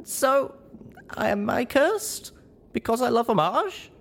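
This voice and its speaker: A young female pony from a fantasy audiobook, acted out by a man, speaks in a very high, squeaky voice. She is earnest and easily flustered, with questions that swing widely in pitch. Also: very animated, takes it slowly, gravelly, heavily acted. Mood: insistent, earnest.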